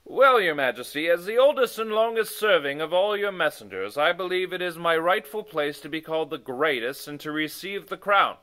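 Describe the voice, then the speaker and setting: A man's voice: a little high, lively intonation, moderate pace, few pauses, sharp tone, pitched above the performer's own voice. A man voicing a pompous, proud senior royal messenger in a storybook, speaking in a declamatory way. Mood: self-important.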